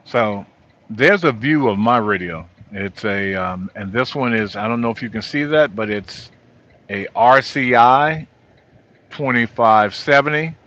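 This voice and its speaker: A middle-aged or older man, a trucker, giving an informal, halting walkthrough of gear from inside his truck cab. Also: on the low side, fairly bright tone. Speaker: truck driver vlogger. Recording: dull lo-fi audio.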